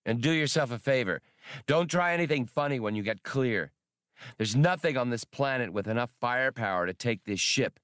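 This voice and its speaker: A man's voice: mid-range voice, expressive, moderate pace, a bit bright, smooth, no echo, voice pushed up, natural voice. A man reading an audiobook, voicing a cocky, wry star pilot smuggler with a dry, casual, needling manner. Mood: dry taunting.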